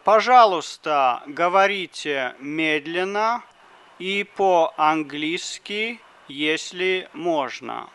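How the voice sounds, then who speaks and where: A man reads drill phrases for a language lesson, pronouncing each word with great care. He speaks very slowly. His voice is fairly high and smooth. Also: expressive, fairly bright tone. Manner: deliberate, carefully articulated phrases. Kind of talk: slow phrasebook drill reading.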